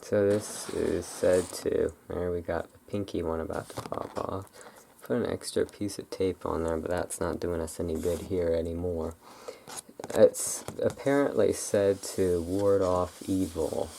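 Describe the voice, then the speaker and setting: An ASMR creator chatting casually and unscripted, in a halting, rambling way that often breaks off mid-thought. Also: deep voice, creaky, a little mellow, a little hiss or hum. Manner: halting, rambling, self-interrupting.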